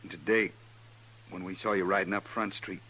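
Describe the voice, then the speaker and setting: Hot-headed American rancher type, jealous husband, old radio drama. Very low, forceful voice, rustic grammar, slightly noisy vintage sound. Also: male voice, fairly even intonation, normal speed. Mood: dawning realisation. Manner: plain rustic speech, intense. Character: jealous, hot-headed, rough-spoken frontier husband, a man. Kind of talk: 1950s American radio western acting.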